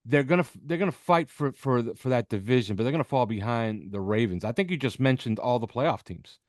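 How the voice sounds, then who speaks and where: A man's voice: mid-range voice, animated, fairly quick, no echo. A man with a mid-range voice breaks down football as a podcast guest, unscripted and emphatic. He punctuates his points with short check questions. The sound is dry and close, with very little room on it. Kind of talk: unscripted sports analysis.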